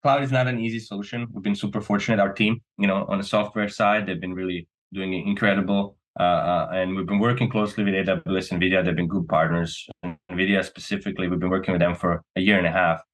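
A man's voice: low-pitched, fairly even intonation, quick pace, hardly any pauses. A man from Serbia talking casually and earnestly as a company co-founder being interviewed, often checking in with "right?".

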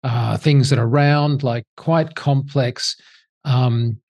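A man co-hosting a podcast, asking questions eagerly with quick bursts of speech. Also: medium pitch, fairly even intonation, a little mellow. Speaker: co-host, interviewer. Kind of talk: conversational interview.

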